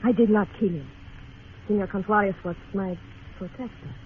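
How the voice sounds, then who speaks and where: A woman's voice: mid-range voice, moderate pace, lightly acted. A woman playing a mysterious, fatalistic young temptress, languid and teasing, in an old radio western. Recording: muffled, faintly noisy old radio recording.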